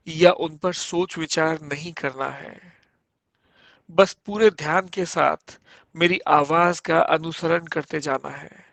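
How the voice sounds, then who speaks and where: Male meditation instructor, fairly high voice, very slow measured phrasing with pauses, guiding deep relaxation. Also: vocal fry, a bit bright, dry recording. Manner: measured, instructive, pausing between short phrases. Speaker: yoga instructor. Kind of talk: guided yoga nidra meditation, slow spoken instructions.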